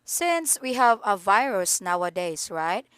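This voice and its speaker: A woman's voice: mid-range voice, very animated, a little slow, a bit bright, smooth, dry recording. A woman teaching English in an online lesson, asking polite questions patiently over a video call.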